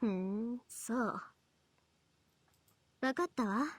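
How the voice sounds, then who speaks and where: A woman's voice: a little high, slow pace, no room sound. A proud young girl mage from a visual novel. She carries herself with haughty confidence and taunts her opponent as someone sure of the upper hand. The delivery is polished game acting. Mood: cold, haughty.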